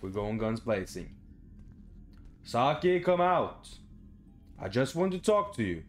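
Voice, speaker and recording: A man's voice: medium pitch, very animated, takes it slowly, faint music behind. A young man doing casual horror game commentary, muttering to himself in short phrases. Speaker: gamer, let's play host. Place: small room at home.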